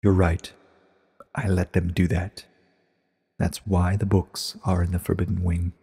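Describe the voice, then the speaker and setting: A man acts out an ancient, regal pony queen in a storybook reading. The voice is low and husky, measured and solemn, with a guarded calm. A long echo hangs on it. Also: heavily acted. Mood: resigned, measured. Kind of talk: dramatic reading of a short story.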